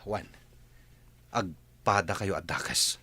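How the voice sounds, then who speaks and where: A man's voice: low voice, lively intonation, takes it slowly, pushed and bright, husky, heavily acted. An actor playing a stern, righteous man confronting those who betrayed him, with an Ilocano accent, in a radio drama. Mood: stern, accusing. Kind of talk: Filipino radio drama acting.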